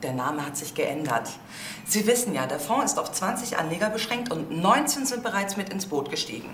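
A woman's voice: on the low side, animated, brisk, a bit bright. A woman playing a formal, businesslike countess in a crime drama. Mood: formal, persuasive. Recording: echoey room with faint noise.

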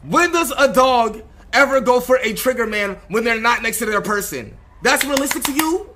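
A man's voice: very high-pitched, animated, moderate pace, sharp tone, a little hiss or hum. A man streaming a roleplay game, giving heated, slangy commentary in an exasperated, repetitive rant.

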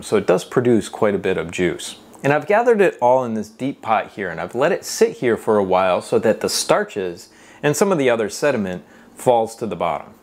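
Guy in his 30s walking thru a homestead how-to, calm and practical, lapel mic, mid-pitched voice. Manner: steady, practical explaining straight to camera. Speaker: homesteading channel host. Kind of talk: instructional how-to vlog. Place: home workshop barn, then a kitchen, indoors. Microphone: clip-on lapel mic.

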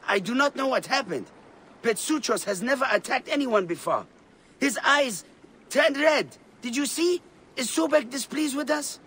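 An actor playing a devout, fervent temple guardian in an ancient Egyptian video game, breathy and emphatic. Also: sits high, expressive, moderate pace, a bit bright, slight background noise, rough recording. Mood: distressed, bewildered.

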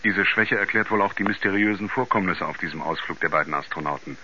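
Sober radio play narrator, measured, lowish but really sharp voice, old dull recording. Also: male voice, slight background noise. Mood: sober, grave. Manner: measured, articulate storytelling. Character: sober male narrator, astronaut's concerned confidant. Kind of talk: 1970s German radio drama narration. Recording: narrow-band 1970s radio play recording.

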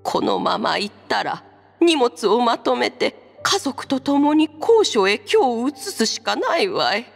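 Husky, grave older village woman. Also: a little high, sing-song, slow pace, exaggerated voice. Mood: grave, worried. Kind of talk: Japanese game voice acting. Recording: long reverb, music underneath.

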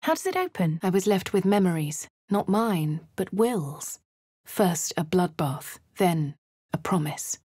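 Woman, posh English accent, measured, husky, dry studio. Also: fairly low pitch, expressive, warm tone, dry recording. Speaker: player character voice. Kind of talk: game voice lines and first-person narration. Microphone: studio mic.

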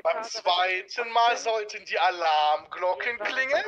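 A man's voice: sits high, animated, takes it slowly, lo-fi sound, over a noisy crowd. A man playing a police sergeant in a game, chatting casually and laughing easily. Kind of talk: casual roleplay chat in a game.

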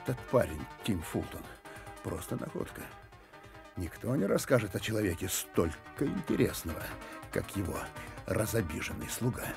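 Shrewd detective, very animated delivery. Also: male voice, lowish voice, normal speed, creaky, over music. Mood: satisfied. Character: shrewd, persistent male private detective. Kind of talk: radio play acting with inner monologue.

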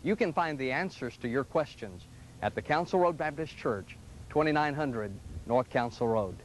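Man, middle-aged, pastor, earnest, gentle, inviting, scripted TV ad, 1980s broadcast sound. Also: mid-range voice, animated, moderate pace, slight background noise, poor audio. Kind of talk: scripted television ad pitch. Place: studio sets and church rooms, indoors. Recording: 1980s local TV ad audio.

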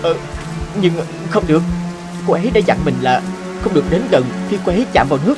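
A man's voice: a little high, lively intonation, quick pace, natural voice, low-quality recording. An actor playing an earnest, anxious young fisherman in a fairy-tale cartoon, speaking with dramatic emotion. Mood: puzzled. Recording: music and rain behind the voice.

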